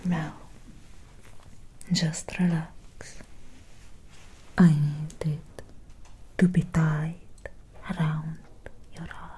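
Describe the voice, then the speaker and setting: A young woman playing a courteous, faintly menacing Victorian vampire doctor in a breathy whispered ASMR roleplay, speaking formally and deliberately. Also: low-pitched, little pitch movement, warm tone, exaggerated voice. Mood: soothing, condescending. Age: young adult. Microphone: close sensitive microphone.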